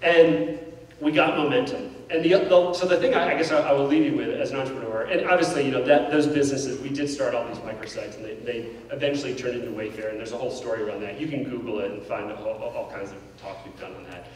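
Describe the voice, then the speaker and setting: Fast-talking man in an echoey hall. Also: mid-range voice, distant mic. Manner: animated, gestures while pacing the stage; candid, self-deprecating. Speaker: keynote speaker. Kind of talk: conversational keynote storytelling. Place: large indoor auditorium stage. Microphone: handheld mic.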